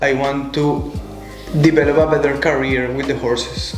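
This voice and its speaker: A young adult Colombian man with a Spanish accent, speaking thoughtfully as an interview guest in halting non-native English. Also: mid-range voice, little pitch movement. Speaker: guest, show jumper. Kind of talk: conversational interview. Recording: echoey room, faint music.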